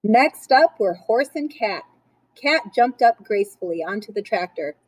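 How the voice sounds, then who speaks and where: A woman reading a picture book aloud for children in a playful, expressive way, with chatty asides. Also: mid-range voice, normal speed, no echo, low-quality recording.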